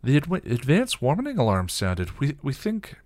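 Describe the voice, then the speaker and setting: Man voicing an anxious young witch woman, raised pitch. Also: huge pitch swings, unhurried, darkish tone, no echo, clean studio sound. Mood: worried. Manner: anxious, stammering, breathless exclamations. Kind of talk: dramatic story reading.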